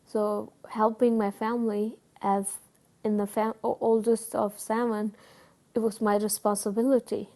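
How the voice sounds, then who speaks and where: A middle-aged woman from Pakistan with a Pakistani accent, giving earnest personal testimony with many pauses, fillers and restarts. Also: a little high, level tone, mellow. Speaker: guest telling her story.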